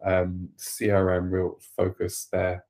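Low flat-voiced Welsh man. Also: takes it slowly. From Wales. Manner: relaxed, explanatory, some stumbles. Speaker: guest, agency director. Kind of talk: casual interview talk.

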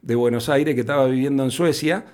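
A man's voice: medium pitch, brisk, darkish tone. A man with an Argentine Spanish accent, speaking conversationally as a festival founder being interviewed on radio.

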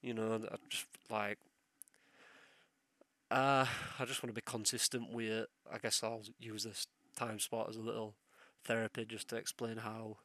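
A man's voice: lowish voice, little pitch movement, moderate pace, bright, forceful tone, no room sound. A young man hosting a solo podcast while ill, with a sore throat and sniffles, rambling and swearing as he loses his train of thought. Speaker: podcast host.

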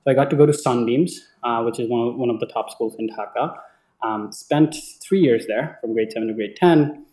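A young man from Bangladesh whose first language is Bengali speaks at a middling pitch in an echoey room. He talks like an interview guest telling his story casually, hesitating and doubling back on words as he goes. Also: moderate pace, warm tone. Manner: hesitant, often repeating words. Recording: echoey, roomy sound.

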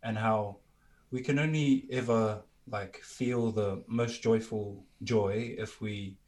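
A man speaking as a musician guest in a casual, reflective interview, candid and thoughtful, with halting phrasing. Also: on the low side, steady tone, darkish tone.

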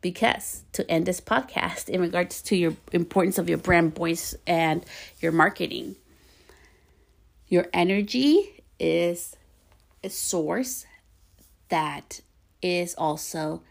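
A bilingual woman hosting a solo podcast in a lowish voice with a gravelly fry running through it. She talks fast and tumbles over her words now and then, coaching listeners in a loose, encouraging way. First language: bilingual Spanish and English. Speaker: podcast host. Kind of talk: casual solo podcast talk.

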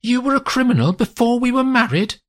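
A betrayed wife and mother confronts her husband, her voice lifted high and strained with fury, as a man reading the story puts her on. The tone is husky and swings widely. It sounds like a storybook scene told aloud. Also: lively intonation, unhurried. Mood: furious. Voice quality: voice raised and strained with anger.

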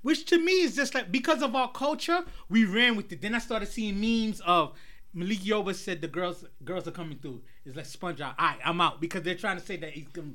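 A young man hosting a casual group chat, animated and asking the panel questions. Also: high-pitched, fairly quick. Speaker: podcast host.

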